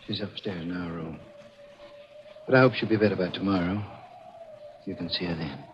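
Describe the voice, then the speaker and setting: An actor playing a grave, courteous older uncle in a 1940s radio mystery, speaking in a measured, foreboding way. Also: male voice, deep voice, lightly acted. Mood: solemn. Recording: noisy, muffled old radio recording.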